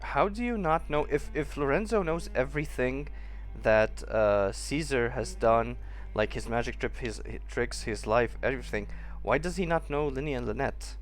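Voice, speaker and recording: Male streamer, medium pitch, casual gameplay commentary, thinking aloud about the plot. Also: moderate pace. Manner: reacting aloud, puzzling over the story. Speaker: streamer playing a story game.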